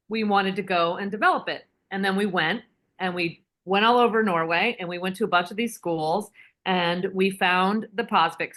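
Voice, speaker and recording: A woman filmmaker telling her story conversationally as an interview guest, measured and with deliberate pauses. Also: lowish voice, fairly bright tone.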